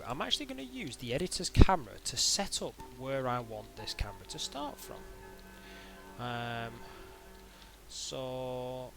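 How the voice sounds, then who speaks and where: A man narrating an informal software tutorial in a hesitant, halting way, as if thinking aloud. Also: mid-range voice. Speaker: tutorial instructor.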